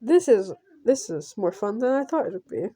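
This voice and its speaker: A woman's voice: mid-range voice, sing-song, moderate pace, warm tone, husky, no room sound, studio quality. A woman reading a visual novel aloud as a casual playthrough, stumbling over and repeating words as she goes. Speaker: game player narrator.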